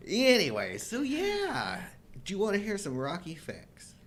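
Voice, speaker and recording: Animated, jokey man around fifty. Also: high-pitched, unhurried, slight background noise. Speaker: co-host of a live show. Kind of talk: casual chat about films.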